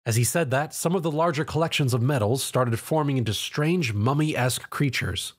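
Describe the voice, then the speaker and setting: A man's voice: mid-range voice, normal speed, husky. A man narrating a scripted story as a dramatic reader. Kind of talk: scripted narration, dramatic fan fiction reading.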